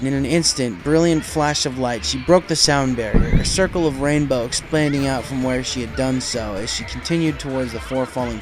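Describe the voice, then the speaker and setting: Male storyteller, middle pitch, dramatic narration, music behind the voice. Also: poor audio. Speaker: fan fiction reader. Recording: music under the voice.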